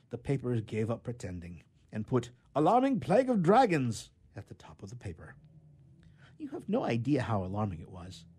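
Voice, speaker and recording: A man reading a children's tale aloud in an expressive storybook manner, with emphatic swells and drops. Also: medium pitch, slightly rough. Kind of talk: scripted storytelling narration.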